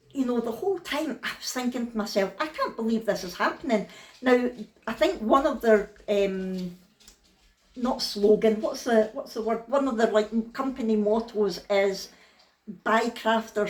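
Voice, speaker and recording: A woman's voice: fairly high pitch, reverberant. A woman with a thick Scottish accent, chatting frankly and down to earth in a casual vlog. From Scotland. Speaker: vlogger.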